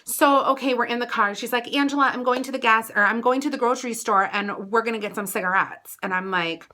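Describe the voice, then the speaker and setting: An American woman chats to her viewers as a vlogger telling a story from her own life. Her voice is bright and punchy. She is animated and casual, leaning into dramatic emphasis as she relays who said what. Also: on the high side, brisk. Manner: animated, chatty, dramatic emphasis with acted-out quotes. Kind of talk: casual personal storytelling to camera.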